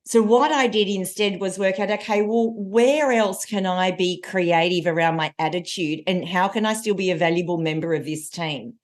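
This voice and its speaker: A woman in her forties with a loud voice, a coach telling stories as a guest in a casual podcast conversation. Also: lowish voice, normal speed, few pauses. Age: 40s. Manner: energetic, animated storytelling with laughs.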